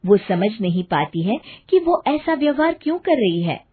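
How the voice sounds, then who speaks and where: A woman's voice: mid-range voice, sing-song, moderate pace, breathy edge, phone-quality sound. A woman reading scripted storytelling narration for a cartoon.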